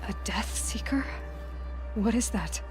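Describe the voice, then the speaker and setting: A young outcast huntress speaks at a middling pitch, intent and searching, in video game drama. Her delivery is direct and earnest, with music clearly playing underneath. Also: expressive, takes it slowly, darkish tone, in a whisper. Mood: puzzled, searching. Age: young adult. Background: background music.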